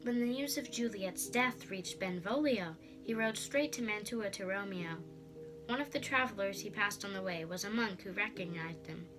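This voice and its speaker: A woman's voice: mid-range voice, normal speed, music in the background, poor audio. A female storyteller reading a storybook aloud in a dramatic narrating style.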